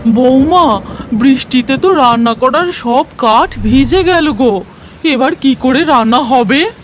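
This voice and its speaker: A woman's voice: high-pitched, lively intonation, slow, slight background noise. A woman voicing a worried older village mother-in-law in a cartoon. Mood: concerned. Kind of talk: cartoon voice acting.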